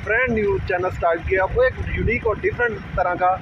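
A Pakistani man with a high voice talks casually on a vlog. He is caught on a handheld phone, dull in tone, with clear noise behind him. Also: quick pace. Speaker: vlog companion.

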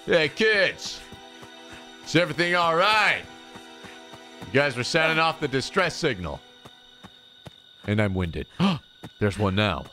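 A man voicing a cocky, gruff male hero in a game, talking in a slow mock-tough drawl. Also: a little high, very animated, pushed and bright, faint music behind, pitched above the performer's own voice, heavily acted.

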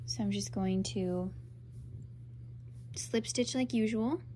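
A woman's voice: medium pitch, slow, dark tone, a little hiss or hum. A young woman with a stuffy, congested nose from a cold, chatting through a crochet tutorial in an upbeat, casual way. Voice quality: nasal, congested. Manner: chatty, upbeat, talks over her hands-on work.

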